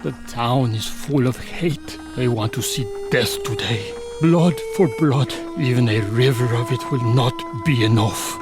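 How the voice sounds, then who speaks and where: A man's voice: mid-range voice, a little slow, hardly any pauses, over music, natural voice. An actor playing a grave, desperate village father in a radio drama. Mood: grim, grieving. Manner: solemn, heavy-hearted.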